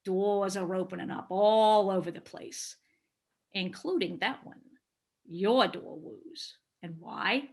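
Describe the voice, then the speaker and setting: A woman's voice: mid-range voice, takes it slowly. A woman playing a sly, hungry monster that feeds on fear, conniving and theatrical, in a dramatized play reading. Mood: scheming, hungry.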